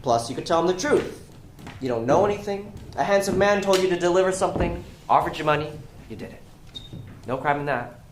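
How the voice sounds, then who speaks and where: Man playing smooth shady crime boss, echoey stage. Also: high-pitched, lively intonation, a little fast. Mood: coaxing. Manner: coaxing, evasive, rhetorical. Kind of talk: stage acting in a one-act play. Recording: echoey room, clear background noise.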